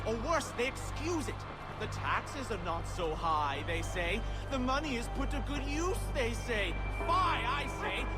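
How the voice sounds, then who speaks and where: An indignant street orator in colonial Boston, in a very high voice. He declaims emphatically like a political speaker in a video game, with loud music behind him.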